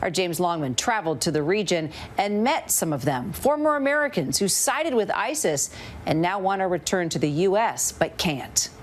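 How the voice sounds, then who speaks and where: A woman's voice: fairly low pitch, sing-song, moderate pace, a little hiss or hum. A woman reading a scripted news introduction as an anchor.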